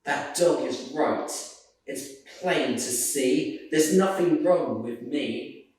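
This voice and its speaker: A man's voice: on the high side, slow pace, darkish tone. A man voicing a small, wistful female misfit dog from a children's storybook, speaking in earnest rhyme. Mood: relieved. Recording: roomy echo, distant microphone.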